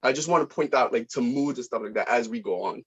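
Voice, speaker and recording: A man giving informal notes as a director, halting and searching for his words. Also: medium pitch, slightly rough, lo-fi sound.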